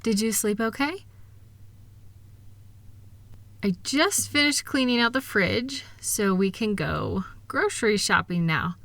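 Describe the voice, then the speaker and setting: Woman acting as a bright-voiced, reassuring, loving wife. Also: mid-range voice, lively intonation, measured pace, no echo. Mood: cheerful, affectionate. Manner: reassuring, gentle, teasing, affectionate. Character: kind, upbeat, capable wife soothing her insecure partner. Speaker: audio roleplay performer. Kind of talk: intimate comfort audio roleplay.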